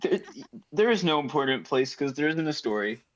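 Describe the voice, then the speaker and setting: Guy playing tabletop games, swingy lively pitch, joking drily, slightly dull lo-fi mic. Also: brisk, a crowd in the background. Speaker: tabletop game player. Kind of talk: casual joking chat.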